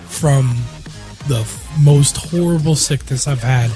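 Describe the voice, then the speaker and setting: A man co-hosting a casual podcast, his voice husky while recovering from an illness, joking crudely and loosely with friends. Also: medium pitch, takes it slowly, dark tone, soft background music. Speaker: podcast co-host.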